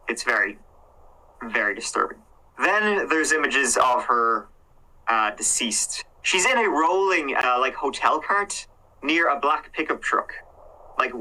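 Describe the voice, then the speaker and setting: A man's voice: medium pitch, pushed and bright, poor audio. A man casually retelling a true crime case in a conversational way, with hedges and fillers. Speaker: true crime reaction host.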